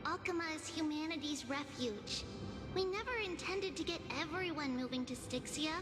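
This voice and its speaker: A woman's voice: high voice, normal speed, close to an everyday voice. A woman playing an earnest, resolute young girl in a video game, patiently explaining herself to her companions. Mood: earnest resolve. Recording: reverberant voice under game music.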